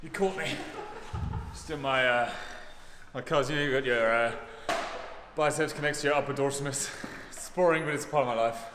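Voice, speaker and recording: A man's voice: medium pitch, normal speed, long pauses. A young man, a rower, bantering with cocky tongue-in-cheek boasts about his seat in the boat. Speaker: rower, interviewee. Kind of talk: casual banter. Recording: echoey room with crowd noise.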